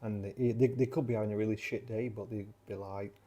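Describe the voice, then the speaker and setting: Rambling South Yorkshire man, low mellow voice. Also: steady tone, fairly quick, highs cut off. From Sheffield, South Yorkshire. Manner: rambling with many fillers and restarts. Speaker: channel creator. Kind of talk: casual rambling chat.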